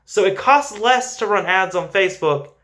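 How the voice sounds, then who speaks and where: A man's voice: sits high, lively intonation, normal speed, sharp tone. A man hosting a finance show, giving informal, emphatic stock commentary and repeating phrases for stress.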